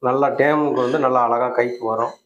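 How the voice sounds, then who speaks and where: A man's voice: mid-range voice, steady tone, fairly quick, a bit bright, lo-fi sound, slight background noise. A man, a bird breeder, plainly explaining his birds and their care to an interviewer. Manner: plain, matter-of-fact explaining. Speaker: bird breeder and farm owner. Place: indoor aviary with cages. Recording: echoey room.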